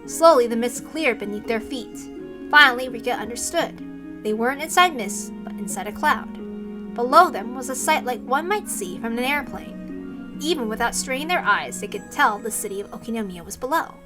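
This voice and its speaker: A woman's voice: a little high, lively intonation, normal speed, over music. A woman reading a visual novel aloud as dramatic scripted narration.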